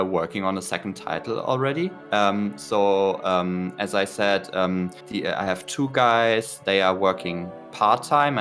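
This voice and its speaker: A man's voice: sits low, fairly bright tone. A German man with a German accent, an indie game developer talking in a casual interview, hesitant and full of fillers and restarts. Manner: hesitant, many fillers and restarts, laughs at himself.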